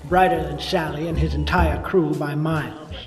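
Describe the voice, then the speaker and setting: A man's voice: on the high side, steady tone, moderate pace, faint music behind, natural voice. Man acting a world-weary noir detective, roomy echo. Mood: brooding, wry. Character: weary, wisecracking 1950s male police detective. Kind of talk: hardboiled noir radio acting with first-person narration.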